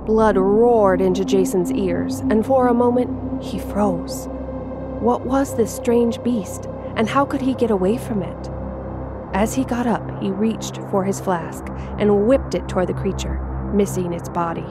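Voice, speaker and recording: A woman writer reading her own horror story aloud in a measured, suspenseful way. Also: mid-range voice. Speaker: writer and narrator. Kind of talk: scripted horror story narration. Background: music.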